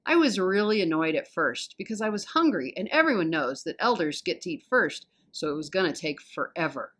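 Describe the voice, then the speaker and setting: An American woman narrates a children's novel in an expressive read-aloud style. Her voice is a little low, and her pitch rises and falls in a very lively way. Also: brisk. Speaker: volunteer storybook reader.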